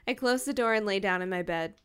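Woman podcast co-host, smooth clear voice at a middle pitch, reading aloud with wry, irreverent commentary. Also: a bit bright. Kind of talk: reading a novel aloud with joking asides.

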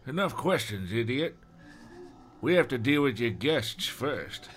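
Dim storybook gunman, acted by a man, gruff, bullying, barking, bossy. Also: mid-range voice, lively intonation, unhurried, fairly bright tone.

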